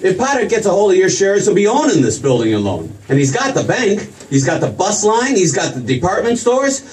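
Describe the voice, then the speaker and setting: A man's voice: sits high, sing-song, fairly quick, darkish tone. An actor in a 1940s-style radio play as an earnest, flustered young small-town businessman, stammering and repeating himself as he pleads. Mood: flustered pleading. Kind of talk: 1940s radio drama acting. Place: stage production. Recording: distant stage mic, faint noise.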